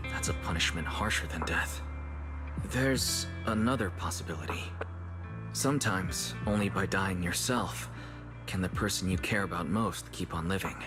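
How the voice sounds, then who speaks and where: A man's voice: sits low, moderate pace, music in the background, natural voice. An actor playing a serious, thoughtful young man in a fantasy game, with an earnest, reflective delivery. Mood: somber. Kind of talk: dramatic game voice acting.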